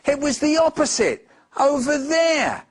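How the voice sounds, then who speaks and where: A man's voice: very high-pitched, very animated, measured pace, pitched above the performer's own voice, exaggerated voice. A man voicing an earnest, indignant young boy in a children's storybook, speaking emphatically and drawing out his words. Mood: indignant protest.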